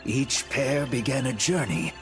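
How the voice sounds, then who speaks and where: A man delivers scripted narration for a video game story, with music playing clearly behind him. He takes his time, speaking slowly and dramatically like a storyteller. His pitch moves in broad, lively swings. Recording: music bed under the voice.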